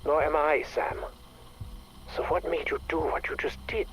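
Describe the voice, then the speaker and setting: An actor playing a stern, intense scientist and project director in a video game audio log, speaking formally and precisely. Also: male voice, fairly high pitch, steady tone, moderate pace, close to an everyday voice. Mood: stern, probing. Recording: faintly noisy recorded audio log.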